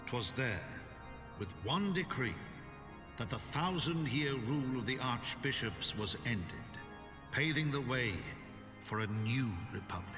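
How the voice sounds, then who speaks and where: A man's voice: medium pitch, animated, unhurried, lightly acted. A man playing a solemn chronicler, narrating a fantasy video game in stately, archaic phrasing. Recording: reverberant hall sound, music behind.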